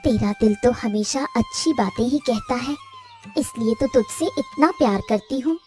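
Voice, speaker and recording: A woman voicing a gentle, tired, self-sacrificing elder co-wife in a Hindi cartoon story. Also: fairly high pitch, moderate pace, faint music behind, close to an everyday voice. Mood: fond, wistful.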